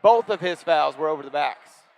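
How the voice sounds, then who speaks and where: A man calling high school basketball play by play, quick and excitable on big plays. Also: gravelly, lo-fi sound. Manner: rapid, excitable calls rising on big plays. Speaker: play-by-play announcer.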